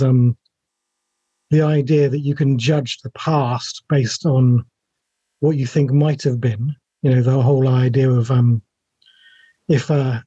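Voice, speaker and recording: A middle-aged man, a writer, talking reflectively and haltingly in an interview over a video call. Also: mid-range voice, mellow, slightly rough, no room sound. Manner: halting, thinking aloud. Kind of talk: casual, reflective interview talk. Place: small home room with shelves, video call. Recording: dull webcam call audio.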